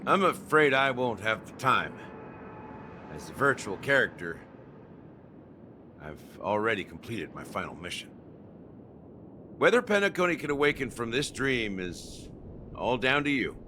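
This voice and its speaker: A man's voice: mid-range voice, very animated, a little slow, long pauses, noisy background. An actor playing a weary, wry veteran man in a video game, speaking in a laconic, resigned way. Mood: resigned, grave. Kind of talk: dramatic video game dialogue.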